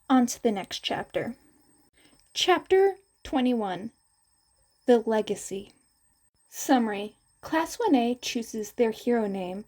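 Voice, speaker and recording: Woman reading fanfic out loud, slow pace, kinda mellow voice, scripted storytelling. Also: a little high. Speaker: fan fiction narrator.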